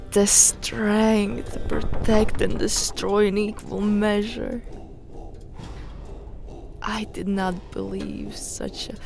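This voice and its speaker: A woman playing a proud, fervent highborn noblewoman in a fantasy audio drama, speaking in a solemn, deliberate, theatrical way.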